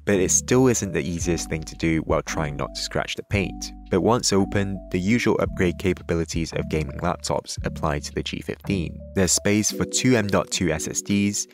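A man narrating a scripted tech review in a measured, even way. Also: deep voice.

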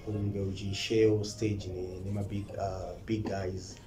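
A young man with a Zimbabwean accent, a musician guest giving calm, short answers in a studio interview. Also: sits low, takes it slowly. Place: indoor TV studio. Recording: roomy studio with faint noise.

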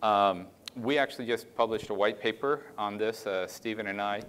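A man's voice: lowish voice, normal speed, a bit bright, a little hiss or hum. A middle-aged man on a conference stage giving a keynote talk through a headset mic, explaining slides in a loose, conversational way with many "right?" checks and fillers. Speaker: keynote speaker. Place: conference stage in a large dark room.

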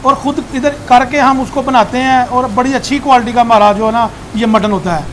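A man's voice: very high voice, steady tone, brisk, fairly bright tone. A man, giving a casual interview as a restaurateur and often repeating his words. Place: indoor restaurant seating area. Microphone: clip-on lapel mic. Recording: clear background ambient noise.

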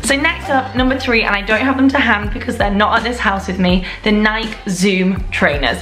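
A woman's voice: mid-range voice, normal speed, fairly bright tone. A young woman talks straight to the camera in a casual product review, bubbly and gushing, full of "like" and "so". Her voice sits in a medium register. The room is echoey, with faint music underneath. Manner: enthusiastic, gushing, lots of "like" and "so". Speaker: lifestyle vlogger. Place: indoor room at home. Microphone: camera at desk distance.

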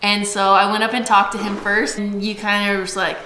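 A woman's voice: mid-range voice, little pitch movement, normal speed, pushed and bright, a little hiss or hum. A woman in her late twenties from Washington state, chatting casually and telling stories with lots of "like" and "um" at home. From Washington state, USA. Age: late 20s. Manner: chatty storyteller, giggly, lots of "like" and "um". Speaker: vlogger. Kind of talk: casual chat. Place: bright home kitchen, indoors. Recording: echoey room.

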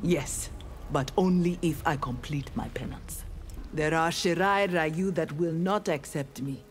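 A woman's voice: sits low, a little slow, slight background noise. A woman playing an earnest young ninja warrior in a fighting game, stern, formal and resolute. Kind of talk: fighting game character dialogue. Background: game music.

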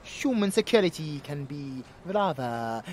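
A man's voice: fairly high pitch, big pitch swings, unhurried, a little mellow, a little hiss or hum. A man playing a flamboyant, genteel and smug vampire lord in an audio drama, with a theatrical, purring, condescending manner.

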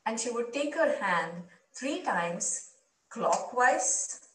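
A woman in her thirties telling a reflective personal story to camera in measured, deliberate phrases, recorded from a distance. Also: a little high, animated. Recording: roomy, distant microphone via video playback.

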